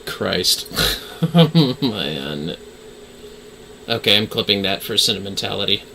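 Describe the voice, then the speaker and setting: A male game streamer chatting casually to viewers. His voice is very bright and forward, with lively pitch movement, over a faint background noise. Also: slow pace, creaky. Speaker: livestreamer.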